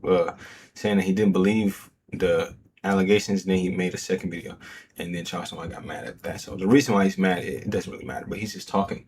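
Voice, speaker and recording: Low-voiced man in slangy casual commentary. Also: fast talker, background noise. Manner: slangy, loose, many fillers. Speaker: host.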